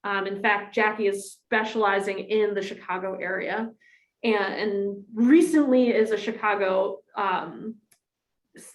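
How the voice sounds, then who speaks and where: A woman rabbi introducing a guest speaker to her congregation in a friendly, halting way with many ums. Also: mid-range voice, steady tone, reverberant, rough recording. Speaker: rabbi hosting a class.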